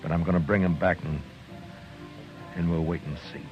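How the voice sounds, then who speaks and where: A man playing a grim middle-aged frontier marshal with a very deep voice. He speaks in a low, measured, restrained way. The sound is a dull old radio broadcast with faint music underneath. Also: flat delivery, close to an everyday voice. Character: stern, quietly grim male frontier marshal. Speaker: marshal and narrator. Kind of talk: 1950s radio drama acting with narration.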